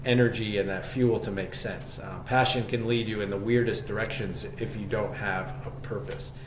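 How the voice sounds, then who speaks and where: A man's voice: lowish voice, fairly even intonation, normal speed. A man speaking as an interview guest, telling his story conversationally in a reflective, enthusiastic way. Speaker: podcast guest, shop co-founder. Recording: echoey room, faint background noise.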